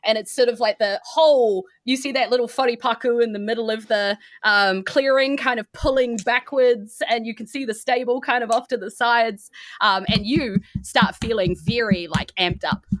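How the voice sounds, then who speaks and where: A woman with a New Zealand accent narrating a tabletop game as game master, improvising in a casual, animated way. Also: a little high, moderate pace, no echo.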